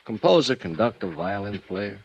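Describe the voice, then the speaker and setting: Jumpy stammering agent, pitch jumps all over, old radio play. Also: male voice, sing-song, moderate pace, creaky, a little mellow, breathy edge, dull audio, full character voice. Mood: nervous. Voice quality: jittery, stammering. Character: nervous male music agent, eager to please. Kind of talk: 1950s radio drama acting.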